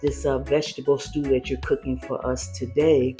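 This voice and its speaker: A man's voice: medium pitch, flat delivery, normal speed, faint music behind. An older man hosting a casual cooking chat, asking friendly questions with lots of fillers and affirmations. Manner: warm and curious, with frequent fillers and affirmations. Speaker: host.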